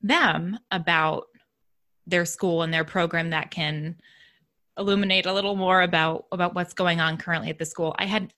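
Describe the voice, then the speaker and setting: A soft-spoken woman with a fairly low voice, chatting about her teaching in a relaxed interview. She thinks aloud as she goes, circling back on her words before landing the point. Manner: reflective, thinks aloud with restarts and light laughs. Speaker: elementary music teacher. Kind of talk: casual conversational interview.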